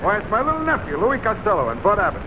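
A bossy, jovial ranch owner, an uncle type. His high voice swoops in forties radio comedy style through a very noisy old recording. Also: big pitch swings, quick pace. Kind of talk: 1940s radio comedy acting.

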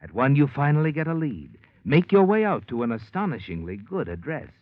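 A man's voice: medium pitch, very animated, a little fast, dry recording, lightly acted. A man narrating a 1940s radio drama, speaking to the listener as "you" in a knowing, insinuating manner. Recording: tinny lo-fi old radio with faint music.

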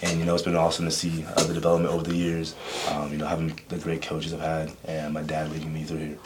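A man's voice: a bass voice, completely flat delivery, fast, background noise. A young man and freshman football player answering earnestly, often saying "you know.". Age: young adult. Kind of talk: casual interview answers.

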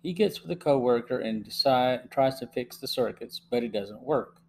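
A middle-aged man narrates a podcast review from notes. His pitch is average and his delivery measured. A faint hum sits behind the voice. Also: expressive. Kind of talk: scripted narration, plot summary and review. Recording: faint hum and background noise.

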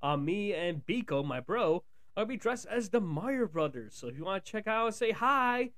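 A man with a noticeably high voice hosts a podcast. He talks casually and informally, addressing listeners directly in a solo monologue. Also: big pitch swings, moderate pace, fairly bright tone. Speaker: podcast host.

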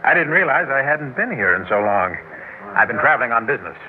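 An actor playing a suave, hearty, well-off traveler with a breezy, genial manner in a radio drama. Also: male voice, mid-range voice, very animated, fast talker, close to an everyday voice. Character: suave, hearty, wealthy jet-setting regular, ageless man. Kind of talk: 1960s radio drama acting. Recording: noisy tinny old radio recording.

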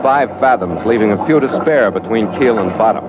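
A man's voice: fairly low pitch, expressive, brisk, noisy background, close to an everyday voice. An American man acting a tough, weathered ship's skipper in a 1940s radio drama, narrating his log in a hard-boiled, clipped way. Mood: measured, vivid. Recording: tinny old radio recording, music behind.

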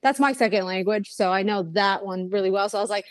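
A young woman talks casually as a guest musician, rambling through her thoughts with plenty of fillers and false starts. Her voice sits at a middle pitch. It is recorded close and dry, with no room sound. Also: fast talker, dry recording. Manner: chatty, rambling with many fillers and restarts. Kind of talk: casual interview chat.

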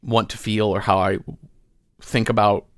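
A man in a casual, reflective interview as a guest, talking in a halting way as he thinks aloud. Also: lowish voice, flat delivery, no echo, studio quality. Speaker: podcast guest, fiction writer.

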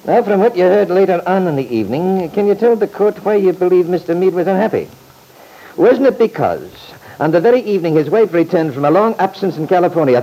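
A man's voice: high voice, dark tone, heavily acted. An actor playing an insistent, coaxing courtroom lawyer in an old-time radio drama, formal and stammering as he presses. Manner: pressing, formal, repeats himself with stammered lead-ins. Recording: noisy vintage radio recording.

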